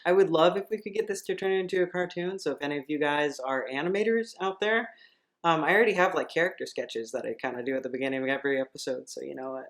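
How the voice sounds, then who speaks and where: A woman's voice: low voice, fast. A woman hosting a podcast, speaking in a casual, chatty style. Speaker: podcast host and writer.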